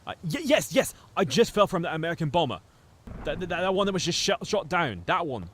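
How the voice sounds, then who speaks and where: A man's voice: on the high side, very animated, brisk. A man playing a prim, timid English war reporter in a radio drama, formal. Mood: frightened. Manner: formal, stammering when flustered. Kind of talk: radio drama narration and acting. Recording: very loud noise and typewriter clatter.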